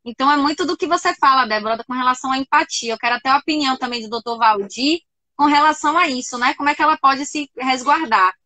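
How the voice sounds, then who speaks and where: A woman's voice: sits high, fast, bright, forceful tone, dry recording. A woman hosting a live radio talk show, passing questions to her guests. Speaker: radio host.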